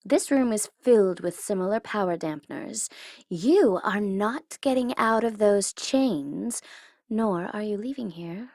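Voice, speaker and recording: Slow, taunting female supervillain, acted by a woman. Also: mid-range voice, expressive, darkish tone, dry recording, clean studio sound. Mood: impatient, teasing. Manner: taunting, theatrical, teasing, drops into breathy whispers. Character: smug tsundere female supervillain gloating over her captive hero. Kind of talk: ASMR audio roleplay, theatrical villain monologue.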